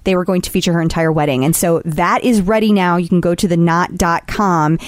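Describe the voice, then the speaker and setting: A woman's voice: lowish voice, brisk, dry recording, clean studio sound. A woman co-hosting a podcast in casual, giggly chat, with a sinus infection. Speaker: podcast co-host.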